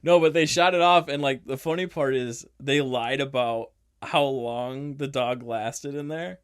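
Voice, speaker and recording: A man's voice: medium pitch, a little slow, smooth, no room sound, clean studio sound. Young man, giggly casual podcast chat. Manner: laughs often, loose and joking. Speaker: podcast co-host.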